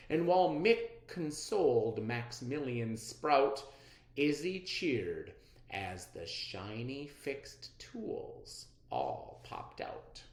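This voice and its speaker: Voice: medium pitch, darkish tone. A storybook reader narrating a children's read-aloud expressively, with dramatic emphasis. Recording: roomy, echoey sound.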